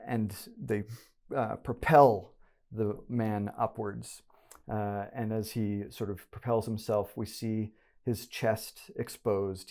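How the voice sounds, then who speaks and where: A man narrating a tabletop game as game master, haltingly, searching for his words as he improvises. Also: fairly low pitch, a little mellow.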